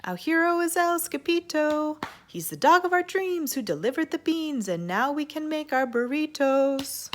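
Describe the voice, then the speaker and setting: Excitable pack of small cartoonish dogs for a storybook, all done by one woman. Very high, chanting, singsong. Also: normal speed, hardly any pauses. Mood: triumphant singsong. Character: jubilant pack of singing little storybook Chihuahuas.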